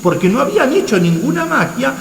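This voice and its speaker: Echoing, very high-pitched man acting a ghost. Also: sing-song, fairly quick, fairly bright tone, full character voice. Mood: plaintive recollection. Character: male ghost, former co-host, recounting being left alone. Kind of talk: radio theatre dialogue. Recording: roomy echoing reverb, faint noise.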